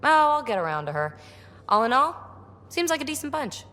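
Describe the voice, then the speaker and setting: Cheeky curious young explorer gal from a game, super animated, lots of reverb. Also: female voice, high voice, fairly quick, heavily acted. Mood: playful, curious. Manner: breezy, irreverent, animated. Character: lively, cheeky, curious young female alien researcher and rogue explorer. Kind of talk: video game dialogue.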